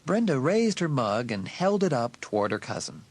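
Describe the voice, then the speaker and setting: A man's voice: medium pitch, very animated, normal speed, breathy edge. Male narrator for a suspense audiobook; middle register, scripted storytelling read.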